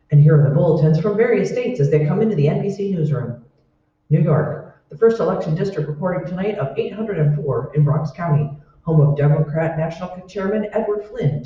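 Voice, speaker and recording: Old-time newsreader, acted by a man, declamatory, urgent, high voice, very echoey, distant mic. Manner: declamatory, formal. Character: urgent 1940s radio election news announcer. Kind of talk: 1940s radio newsreading. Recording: echoey hall, distant mic, dull sound.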